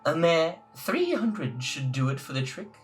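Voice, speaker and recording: A man voicing a pushy, syrupy spider-woman shopkeeper from a visual novel, with drawn-out coy politeness. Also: fairly high pitch, big pitch swings, distant mic, soft background music, voice pushed up. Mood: wheedling, sly. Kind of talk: hammy visual novel read-aloud.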